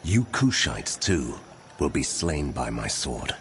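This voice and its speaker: An actor voicing God as a solemn, commanding divine judge in a dramatized scripture reading, speaking in measured declarations. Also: male voice, rumbling low, big pitch swings, fairly bright tone, slight background noise, heavily acted. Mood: stern, wrathful. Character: God, a wrathful yet restoring male divine judge.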